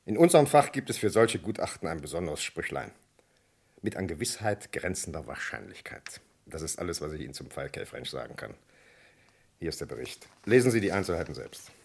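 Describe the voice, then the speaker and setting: A police doctor in an old crime radio drama, very deep and creaky. He talks fast and dryly, with self-assured, animated swings in intonation. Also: exaggerated voice. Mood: dry, certain. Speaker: actor in a crime radio play. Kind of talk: vintage German crime radio play acting.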